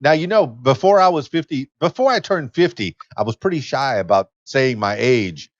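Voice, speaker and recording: A man in his fifties, a podcast host and DJ, chatting in a lively, self-deprecating way from a home studio. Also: mid-range voice, normal speed, no room sound, muffled recording. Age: 50s. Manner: lively, self-deprecating, talks about himself with gusto. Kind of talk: casual interview chat. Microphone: desk mic on a boom arm.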